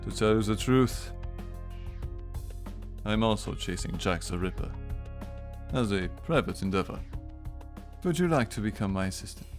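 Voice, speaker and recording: Man playing a grave, low-voiced Victorian investigator. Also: animated, normal speed, pauses often. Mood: earnest, persuasive. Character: calm, serious male Victorian investigator. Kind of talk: radio drama acting. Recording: music behind the voice.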